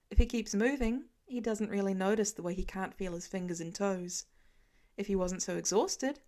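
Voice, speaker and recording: A woman narrating a fan fiction story aloud in a gentle storytelling manner, with light character voices. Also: medium pitch. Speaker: podfic reader.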